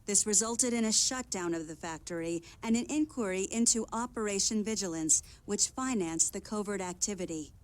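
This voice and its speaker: A woman acting as a composed TV news anchor in a video game. She reads formally and evenly at a medium pitch. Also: lightly acted. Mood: neutral. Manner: formal, measured. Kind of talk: scripted news reading.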